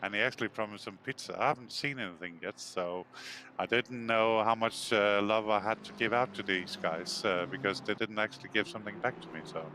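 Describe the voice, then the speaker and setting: A man's voice: fairly low pitch, brisk, sharp tone, slight background noise. A man with a Danish accent, commenting on a sim racing stream in a hesitant way, full of fillers and restarts.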